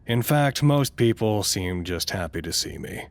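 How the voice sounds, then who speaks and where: Man acting a weary, rambling research doctor, swinging pitch. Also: lively intonation, measured pace. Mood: weary, reflective. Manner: rambling, self-conscious, stammering when flustered. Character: weary, petty but good-natured male research doctor. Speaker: voice actor in audio drama. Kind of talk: audio drama acting, log monologue.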